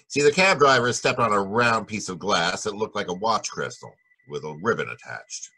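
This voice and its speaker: Guy doing a tough american noir detective, low raspy forceful voice, wry, old radio style. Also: lively intonation, moderate pace, heavily acted. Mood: explaining. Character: hardboiled, wisecracking private detective. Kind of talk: 1940s radio noir acting and narration.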